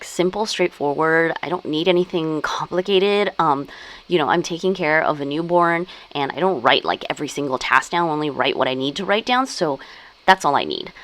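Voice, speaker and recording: Brief: young woman, low voice, relaxed filler-heavy vlog chat about her planners and journals at home. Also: rough recording. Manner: chatty, many "like" and "um" fillers. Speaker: stationery vlogger. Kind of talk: casual show-and-tell chat.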